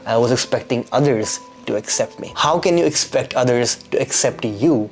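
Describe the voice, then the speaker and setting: A man's voice: mid-range voice, normal speed, music in the background. A man, talking earnestly and reflectively in a scripted vlog, asking rhetorical questions. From Pakistan. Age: 20s. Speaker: YouTube creator.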